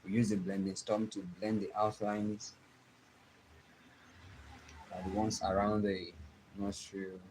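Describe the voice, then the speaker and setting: A young man with a Nigerian accent, giving a live drawing tutorial and talking softly as he works. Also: fairly low pitch, flat delivery, noisy background. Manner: slow, soft-spoken, trailing off while drawing. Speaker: art teacher streaming live.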